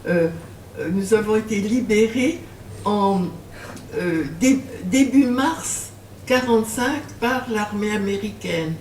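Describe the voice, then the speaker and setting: An older woman recalls her past with halting, filler-laden speech and bursts of laughter. She is picked up from a distance in a reverberant bookshop. A faint hum of noise sits under her voice. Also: mid-range voice, a little mellow. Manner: hesitant, many fillers, laughs when telling stories. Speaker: author and guest. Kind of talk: bookshop talk with audience.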